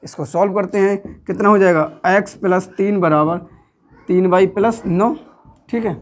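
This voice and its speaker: A man's voice: high-pitched, moderate pace. Man, Hindi accent, maths teacher, step-by-step classroom lesson, asks and answers questions, patient, repetitive. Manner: patient, repeats each step.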